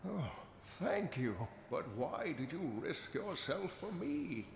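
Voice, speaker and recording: Elderly kindly scholar for fantasy game: gravelly, unhurried, foreboding storyteller. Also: male voice, a little high, noisy background, exaggerated voice. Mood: grateful. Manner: slow, expressive, foreboding storytelling. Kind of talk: fantasy video game voice acting.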